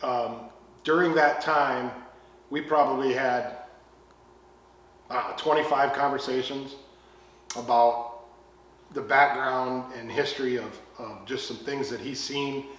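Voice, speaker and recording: A man's voice: mid-range voice, fairly even intonation, fairly bright tone. A middle-aged American man hosting a podcast, chatty and rambling as he introduces a guest. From United States. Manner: chatty, rambling, quick to laugh along. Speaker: podcast host. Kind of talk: casual podcast chat. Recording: echoey room, distant mic.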